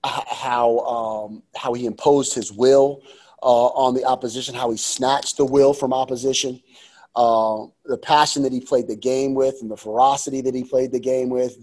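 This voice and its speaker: A man in his forties talks in a casual interview with a mellow, dark-toned voice at a middling pitch. He is enthusiastic about what he says and leans on repeated phrases for emphasis, like a coach making his case. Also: rough recording. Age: 40s. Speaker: youth sports coach, interview guest.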